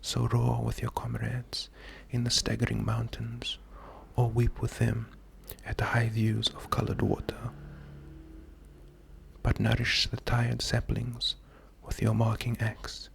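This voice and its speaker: A man speaks in a hushed, breathy ASMR style with heavy vocal fry. His delivery is slow and calming, with a flat, even melody that stays gentle and unhurried throughout. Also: medium pitch. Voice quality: breathy, creaky, half-whispered. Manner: gentle, unhurried, calming, often repeating words. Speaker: ASMR host. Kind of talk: slow soft-spoken ASMR poetry reading.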